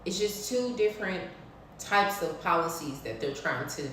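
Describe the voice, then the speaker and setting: A woman's voice: lowish voice, animated, moderate pace, fairly bright tone, distant mic, slight background noise. Blunt preachy woman in an echoey room. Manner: preachy, blunt, swears freely. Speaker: spiritual vlogger. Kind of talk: casual spiritual talk to camera.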